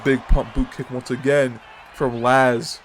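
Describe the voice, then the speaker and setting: A man with a stuffy nose and sore throat calling a wrestling match as host, excitable and jumping to shouts on big moves. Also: mid-range voice, a little slow, a faint crowd, slight background noise. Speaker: wrestling commentator and show host. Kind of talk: play-by-play commentary.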